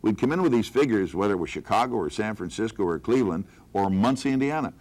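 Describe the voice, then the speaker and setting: A man's voice: lowish voice, expressive, fast talker, darkish tone. A man, a veteran radio staffer, telling emphatic stories from his career in a panel conversation.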